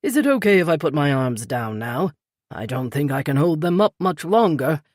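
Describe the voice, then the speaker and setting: A woman voicing a polite, unflappable older British gentleman with a clipped British accent. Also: sits low, sing-song, moderate pace, no room sound, studio quality. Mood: calm, polite.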